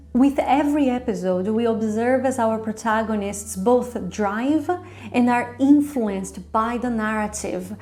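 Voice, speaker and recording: High-voiced woman giving an articulate review. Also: dark tone. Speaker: drama reviewer hosting own review show. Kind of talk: semi-scripted review commentary.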